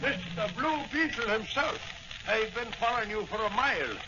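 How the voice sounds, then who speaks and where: A man's voice: very high-pitched, lively intonation, moderate pace. An actor playing a self-important, blustering police patrolman with an Irish accent in 1940s radio style. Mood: triumphant. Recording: dull, noisy old radio recording.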